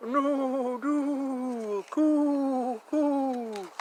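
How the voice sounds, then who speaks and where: A man voicing a gentle, musical city pigeon from a storybook, with hooting coos, recorded outdoors. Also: very high voice, drawn-out words, pitched above the performer's own voice, heavily acted. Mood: gentle calling. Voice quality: hooting, cooing. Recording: outdoor recording with clear ambient noise.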